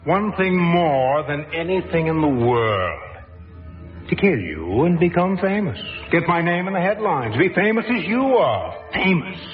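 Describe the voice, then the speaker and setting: A man's voice: fairly high pitch, very animated, unhurried. A man acting in a radio drama as a bitter, envious failed writer turned would-be killer, intense and melodramatic. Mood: menacing envy. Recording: dull lo-fi radio recording with music behind.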